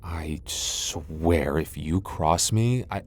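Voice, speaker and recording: Casting: male actor as haughty tsundere vampire lord, low-pitched, prickly and easily flustered, for intimate boyfriend roleplay audio. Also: very slow, darkish tone, breathy edge, full character voice. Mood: wary, resigned. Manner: haughty, defensive, stammering when flustered. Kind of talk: ASMR boyfriend roleplay acting.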